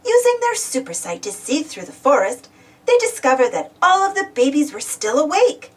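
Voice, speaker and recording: A woman's voice: high-pitched, very animated, moderate pace, distant mic. A woman reading a children's story aloud, exclaiming and putting questions to young listeners. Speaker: storybook reader. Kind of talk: animated children's read-aloud narration.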